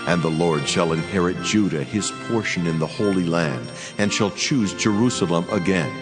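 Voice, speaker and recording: Deep and heavily reverberant, this is a man performing a majestic voice of God in a scripture drama. He declaims solemnly and with command. Music plays prominently beneath him. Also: normal speed, natural voice. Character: solemn, commanding divine voice of God and his angel. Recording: long reverb, music bed underneath.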